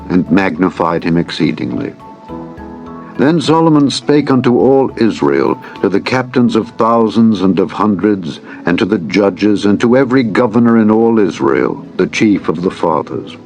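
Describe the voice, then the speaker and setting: A man narrating scripture as an audiobook in a solemn, measured, formal and reverent way. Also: lowish voice, darkish tone. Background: background music.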